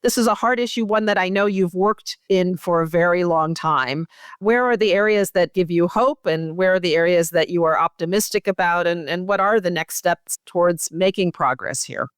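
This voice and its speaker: A woman hosting a medical podcast interview, speaking in an articulate, measured and formal way. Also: on the low side, clear, resonant tone, no room sound, clean studio sound. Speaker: podcast host, medical journal editor.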